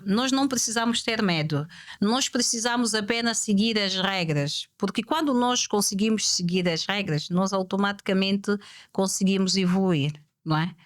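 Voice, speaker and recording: Woman guest on a podcast, medium-pitched voice, talks slow and thoughtful, keeps repeating her point and asking herself questions. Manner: poses rhetorical questions and repeats key points. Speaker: guest speaker. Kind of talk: reflective podcast conversation.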